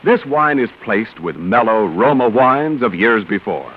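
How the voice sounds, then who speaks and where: A man delivering a deliberate, emphatic 1940s radio sales pitch as a commercial announcer. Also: lively intonation. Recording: muffled old radio recording.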